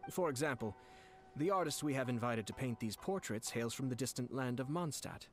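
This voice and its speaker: Composed noble bureaucrat, played by a man; formal, measured delivery, mid voice. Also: medium pitch, expressive, soft background music, close to an everyday voice.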